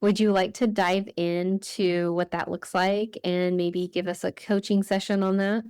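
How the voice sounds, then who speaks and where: Woman host, smooth clear voice, dry close sound, upbeat and laughing. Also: medium pitch, level tone, a little slow, a little mellow, no echo. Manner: enthusiastic, laughs easily. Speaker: podcast host. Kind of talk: casual interview chat.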